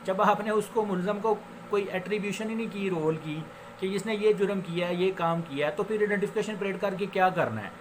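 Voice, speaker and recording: A man with a Pakistani accent giving an informal law lecture, explaining with examples and switching into English legal phrases, which he reads slowly and haltingly. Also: high voice, low-quality recording. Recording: noticeable background noise.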